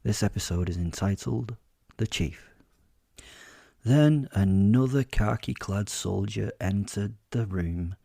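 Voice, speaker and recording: Male narrator, wry werewolf storyteller for audio drama. Mellow, lowish voice, measured and pause-heavy, dry recording. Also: creaky, no room sound. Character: werewolf telling his life story, wry and boastful. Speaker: narrator and host of a fiction podcast.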